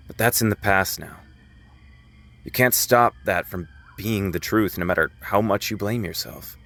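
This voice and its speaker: An actor playing a dead young friend who appears as a hallucination in an audio drama, calm, frank and reassuring. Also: male voice, on the low side, unhurried, vocal fry. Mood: gentle, urging. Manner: steady, familiar, gently firm.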